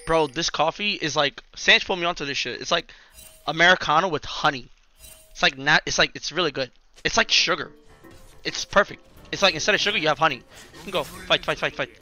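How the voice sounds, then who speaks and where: A man's voice: a little high, brisk, pushed and bright, soft background music. A man in his twenties giving casual live game commentary, firing off repeated short callouts with slang and swearing. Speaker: streamer. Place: indoors in a furnished room.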